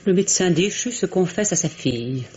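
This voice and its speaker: A woman's voice: on the low side, lively intonation, fairly quick, darkish tone, a little hiss or hum, lightly acted. A middle-aged woman playing a bitter, mocking wife in a theatrical French radio drama style. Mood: mocking, sarcastic. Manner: sarcastic, theatrical.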